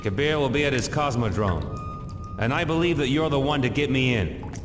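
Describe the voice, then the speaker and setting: Terse, deadpan male action hero, acted, echoing. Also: medium pitch, brisk, natural voice. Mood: calm, businesslike. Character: cool, determined action-game hero, menacing toward foes. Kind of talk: video game cutscene acting. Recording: echoey, long reverb, music underneath.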